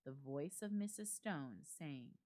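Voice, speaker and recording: Female reader for classic ghost story: slightly low register, highly animated melody, measured and expressive delivery. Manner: measured, expressive, deliberate phrasing with pauses. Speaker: library staff member reading a story aloud.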